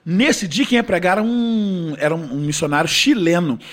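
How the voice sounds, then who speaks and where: A man who is a gospel singer telling a personal testimony in an animated way, acting out the dialogue and moving between loud and hushed. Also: high-pitched, moderate pace.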